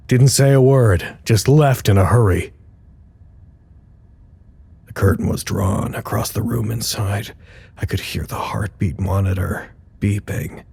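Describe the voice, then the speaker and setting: A man's voice: lowish voice, gravelly, darkish tone, husky, exaggerated voice. A man playing an anxious, caring friend who speaks earnestly and fretfully in an audio drama monologue. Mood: subdued, downcast.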